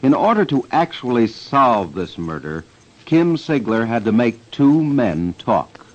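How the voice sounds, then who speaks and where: A man giving deliberate, emphatic scripted commentary for an old-time radio crime documentary. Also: mid-range voice, very animated. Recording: dull vintage radio recording.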